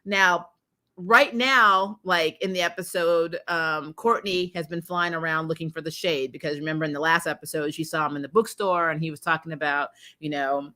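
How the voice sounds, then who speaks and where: A woman hosting a casual, unscripted review, retelling scenes in slangy, animated talk and acting out reactions. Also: low-pitched, normal speed. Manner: animated, exclaiming, acts out reactions, laughs often; informal slangy talk with frequent "like" and "you know". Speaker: host of a TV episode review show.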